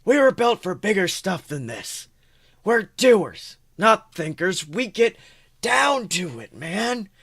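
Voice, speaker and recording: A man's voice: high-pitched, very animated, slow pace, fairly bright tone, husky, no echo, studio quality, pitched above the performer's own voice, exaggerated voice. A man voicing a grumbling, roguish dwarf cleric in a fan fiction reading, blunt and emphatic as he schemes. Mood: scheming, persuasive.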